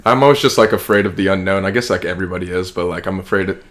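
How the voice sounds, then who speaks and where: A man's voice: sits low, rapid-fire, a bit bright. A man in his early twenties with an American accent, talking casually and reflectively in a rambling, informal way as a podcast co-host. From Kansas, United States. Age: early 20s. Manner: rambling, informal, heavy use of "like".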